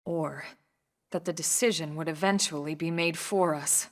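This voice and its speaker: Woman acting a composed, low-voiced female officer. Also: natural voice. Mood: somber, reflective. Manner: calm, measured, serious. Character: composed, dutiful female military officer, quietly caring. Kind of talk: video game cutscene acting.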